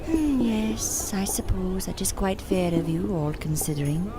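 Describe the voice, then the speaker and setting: A woman's voice: mid-range voice, lively intonation, lightly acted, rough recording. Woman acting a cold, haughty circus mystic. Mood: haughty, needling. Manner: deliberate, theatrical, cutting. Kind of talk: audio drama acting.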